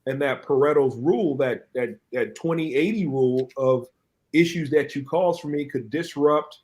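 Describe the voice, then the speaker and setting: A man's voice: a little high, normal speed, darkish tone. Man telling a story in a rambling way. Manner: rambling storytelling with many restarts. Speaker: podcast co-host. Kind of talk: casual panel discussion.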